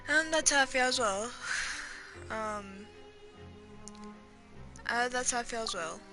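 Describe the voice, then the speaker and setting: A woman's voice: a little high, slow pace. A woman giving casual game playthrough commentary, rambling, repeating herself and reacting aloud. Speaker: gaming streamer. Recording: game music faintly behind.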